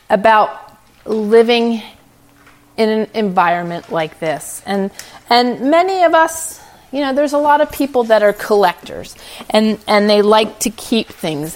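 Encouraging woman hosting a solo podcast. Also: fairly high pitch. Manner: earnest, encouraging, conversational. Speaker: podcast host. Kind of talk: informal motivational talk, solo podcast.

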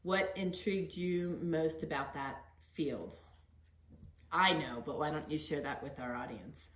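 A woman's voice: low-pitched, measured pace. Middle-aged woman podcast host, echoey room. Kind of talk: casual conversational podcast interview.

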